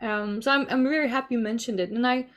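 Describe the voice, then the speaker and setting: A Swedish woman whose first language is Swedish, talking about her songwriting in a relaxed interview. Her voice sits on the higher side, and she speaks animatedly and laughs easily. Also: fast. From Sweden. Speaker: band singer and lyricist. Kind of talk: casual interview chat.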